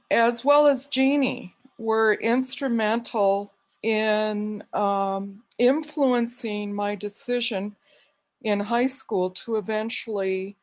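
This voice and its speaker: A woman from Wyoming speaks at a memorial over a video call, very slowly and deliberately. Her delivery is even and, in her own words, monotone, unhurried as she reads a prayer aloud. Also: medium pitch. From Wyoming, USA. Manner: slow, calls own voice monotone. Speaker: mourner giving a tribute. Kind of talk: memorial remembrance and reading a prayer.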